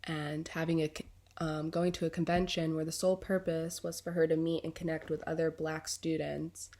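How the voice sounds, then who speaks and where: A woman's voice: low voice, flat delivery, normal speed, a little mellow. A young woman who is sick and congested, speaking earnestly as a student vlogger reflecting on a class reading, with frequent ums.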